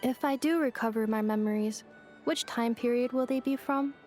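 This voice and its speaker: A woman's voice: a little high, normal speed, darkish tone, soft background music, natural voice. A woman voicing an earnest, curious robot girl with memory loss, speaking in stilted, literal phrases for a video game. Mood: earnest, matter-of-fact.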